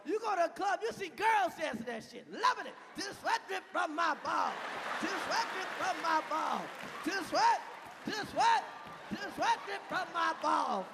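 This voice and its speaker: A man in his thirties performing a loud stand-up comedy set on stage, shouting punchlines and chanting lyrics. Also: very high voice, lively intonation, normal speed, vocal fry. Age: 30s. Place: large venue on stage. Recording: live hall with loud crowd and noise.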